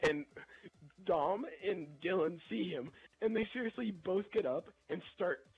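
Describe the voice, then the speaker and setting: A young man telling a casual, rambling story in an animated, slangy way while gaming. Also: high-pitched, measured pace, rough recording. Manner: animated, slangy, chuckling delivery. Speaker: gameplay video narrator.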